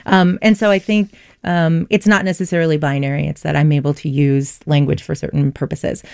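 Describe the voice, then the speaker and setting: A woman's voice: low voice, steady tone, a little fast, creaky, darkish tone, clear, resonant tone, no echo, clean studio sound. A woman explaining language learning research in a confident conversational way, with frequent "um" and "like".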